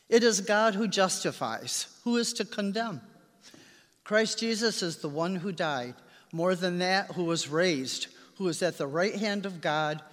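A man's voice: high voice, fairly bright tone. A man reading scripture aloud in a formal style at a church service. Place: large reverberant church. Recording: long echoing church reverb.

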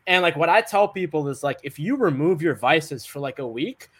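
A man's voice: on the high side, lively intonation, normal speed. A young American man, a guest author, talking casually and upbeat in an interview, peppering his sentences with "like". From United States.

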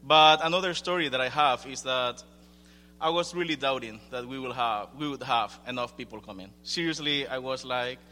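A man's voice: mid-range voice, moderate pace, bright, forceful tone. A young man from Ecuador with a Spanish accent, giving an informal, hesitant and self-deprecating update to a congregation. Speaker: young adults ministry leader. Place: large room, speaking to a gathering. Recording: echoing hall with faint hum and noise.

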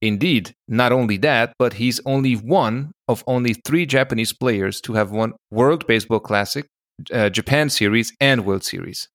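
A man's voice: mid-range voice, moderate pace, a bit bright, no room sound, clean studio sound. A man speaking as a podcast co-host, telling stories in a casual, conversational way.

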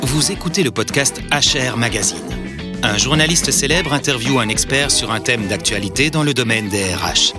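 A man reading a scripted podcast intro in a smooth, even delivery. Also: mid-range voice, normal speed, over music. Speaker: podcast announcer.

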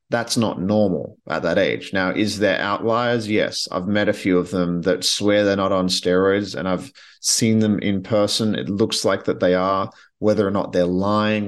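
A man hosting a podcast, talking bluntly and with strong opinions in a casual monologue, often restarting his sentences. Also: deep voice. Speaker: podcast host.

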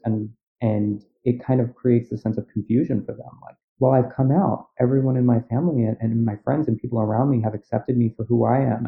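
A man co-hosting a podcast who speaks in a measured, reflective way. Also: lowish voice, gravelly. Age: adult. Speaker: podcast co-host, therapist. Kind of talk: casual conversation. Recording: tinny phone-like audio.

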